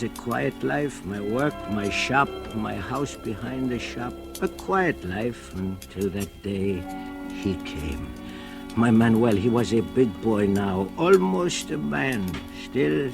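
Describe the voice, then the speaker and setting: An older man, acting a gentle fatherly shoemaker and narrating in an old radio drama, with soft chuckles. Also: mid-range voice, creaky.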